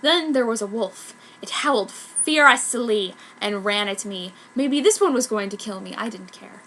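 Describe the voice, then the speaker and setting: A woman's voice: mid-range voice, expressive, normal speed, pushed and bright, poor audio. A woman reading an amateur story aloud in a dramatic, comic narration style. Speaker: fanfiction reader.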